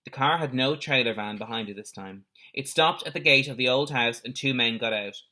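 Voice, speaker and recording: A man's voice: medium pitch, a little fast. A man reading a children's adventure story aloud like a teacher, expressively, with the odd explanatory aside. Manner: animated character voices with explanatory asides.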